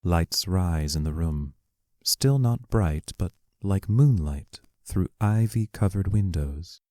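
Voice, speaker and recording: Male stage-directions narrator for audio drama: low, unhurried, dry recording. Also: darkish tone, dry recording. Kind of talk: scripted narration.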